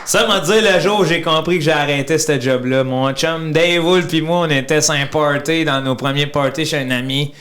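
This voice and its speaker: A man in his thirties with a Québécois French accent, a comedian guest telling animated anecdotes in casual chat, trailing off with "là" and restarting. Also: a little high, normal speed, hardly any pauses. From Quebec. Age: 30s.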